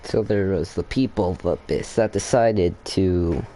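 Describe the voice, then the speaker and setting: Young man, gaming host, deep mellow voice, rambling casual commentary, faint noise behind. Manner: halting, rambling, thinking aloud.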